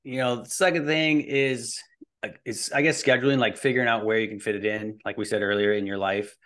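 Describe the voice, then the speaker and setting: A man's voice: mid-range voice, steady tone, fairly quick, fairly bright tone. A man in his early forties talking casually and informally as a fitness coach being interviewed, explaining things in a rambling way. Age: early 40s. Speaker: fitness and nutrition coach, podcast guest.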